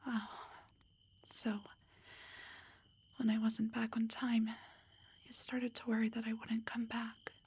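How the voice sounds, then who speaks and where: A woman playing a loving, protective elf wife in an intimate roleplay audio, speaking tenderly and reassuringly in a breathy whisper. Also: on the high side, completely flat delivery, slow, pauses often, a little mellow, full character voice. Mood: tender, soothing.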